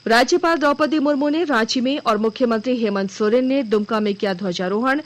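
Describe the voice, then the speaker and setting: A woman reading a formal, scripted regional news bulletin in a measured way as a radio news reader. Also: fairly high pitch. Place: radio studio.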